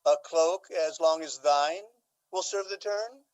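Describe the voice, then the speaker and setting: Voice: medium pitch, slow pace, a little mellow, close to an everyday voice. An actor playing an aging, scheming Duke in a Shakespearean radio drama, with a theatrical, courtly and emphatic delivery. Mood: sly, eager. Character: aging, scheming Duke, aggrieved father feigning lovesickness.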